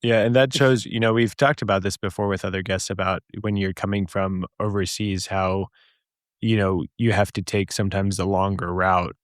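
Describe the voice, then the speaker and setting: Low-voiced man hosting a podcast. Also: steady tone, normal speed, no room sound, clean studio sound. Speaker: podcast host. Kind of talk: casual interview talk.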